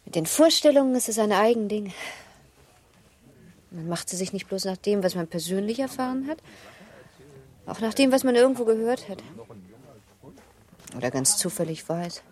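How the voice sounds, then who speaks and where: An actress playing an uneasy young wife of the nineteenth century in a German radio play, speaking in a hushed, pensive, formal way. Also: female voice, mid-range voice, lively intonation, normal speed, pauses often, close to an everyday voice. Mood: wistful.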